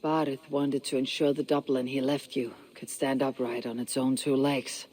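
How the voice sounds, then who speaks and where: An actor playing a steady, resolute male Viking clan leader, speaking in measured, solemn tones in a game cutscene. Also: medium pitch, husky, natural voice. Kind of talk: video game cutscene acting.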